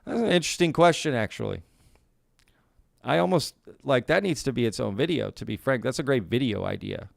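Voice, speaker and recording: A man's voice: mid-range voice, lively intonation, brisk, gravelly, no room sound, clean studio sound. A male live stream host in a casual Q&A, talking in a laid-back, folksy way, often saying y'all and reading out viewer comments. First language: bilingual Spanish and English.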